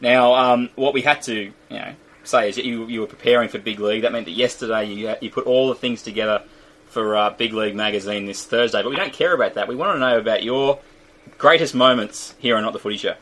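A man's voice: medium pitch, fast, a bit bright, low-quality recording. An Australian man co-hosting a casual podcast, stumbling and repeating words as he talks. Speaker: podcast co-host.

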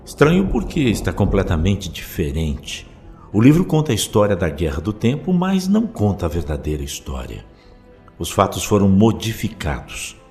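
A man playing a wary, learned alien former professor in an audio drama, explaining things gravely. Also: sits low, a little mellow. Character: learned, wary male Time Lord, reclusive former professor. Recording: faint music under the voice.

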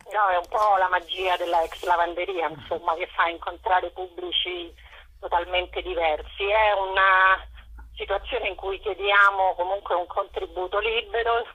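A woman's voice: lowish voice, steady tone, normal speed, sharp tone, slightly rough. A woman guest on a radio interview, informally presenting a programme as its organiser over the telephone. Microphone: telephone call. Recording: muffled, lo-fi telephone line.

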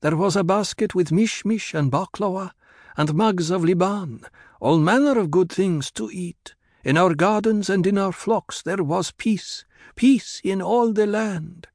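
Desert tribeswoman for audiobook, male narrator: grave, ceremonial, incantatory, pitched up. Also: unhurried, a little mellow, no room sound, studio quality. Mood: wistful, ceremonial.

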